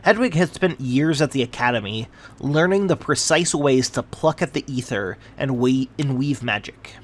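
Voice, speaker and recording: A man's voice: medium pitch, lively intonation, moderate pace. A man reading a scripted fantasy tale aloud as its narrator.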